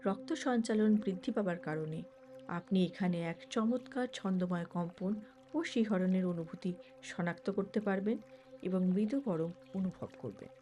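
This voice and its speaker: Female meditation guide. Lowish, very mellow tone, measured instructional phrasing over background music. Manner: measured, with pauses between short phrases. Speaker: certified meditation instructor and mind trainer.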